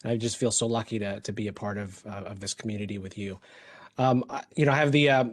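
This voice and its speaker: Hesitant man telling his story, flat voice. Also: fairly low pitch, quick pace, a little hiss or hum. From Philippines. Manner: hesitant, many fillers and restarts. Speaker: hospital executive. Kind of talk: personal story told to colleagues.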